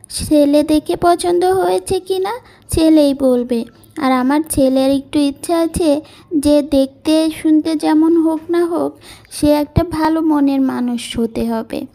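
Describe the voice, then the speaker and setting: A forthright, kindly middle-aged mother, voiced by a woman in a cartoon village tale. The voice is high and mellow, that of someone sizing up brides for a son. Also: gravelly.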